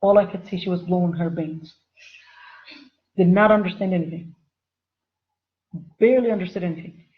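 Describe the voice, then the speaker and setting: A woman's voice: lowish voice, normal speed, pauses often, dull audio. A woman teaching an informal online Islamic studies lesson, chatty and repeating her phrases for emphasis while addressing the viewers directly.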